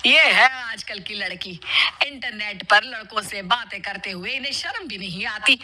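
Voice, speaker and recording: A woman's voice: mid-range voice, lively intonation, moderate pace, pushed and bright. A woman voicing a bossy, quarrelsome middle-aged mother-in-law in a cartoon, scolding and taunting dramatically. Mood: outraged scolding. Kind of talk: Hindi moral-story cartoon dubbing.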